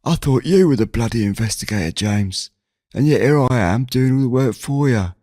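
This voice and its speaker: A man's voice: medium pitch, expressive, moderate pace, mellow, no room sound, studio quality, voice pushed up, close to an everyday voice. A man voicing a chatty, nosy old village grandfather in an audio drama, folksy and grumbling in manner. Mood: gruff, teasing. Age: older. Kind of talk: audio drama character dialogue.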